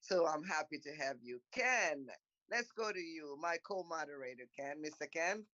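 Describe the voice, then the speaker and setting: A woman's voice: sits low, animated, normal speed, fairly bright tone, no echo, muffled recording. A woman from Congo whose first language is French, hosting and moderating an online panel in a chatty, encouraging way and thinking aloud as she types. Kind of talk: casual hosting of an online talk.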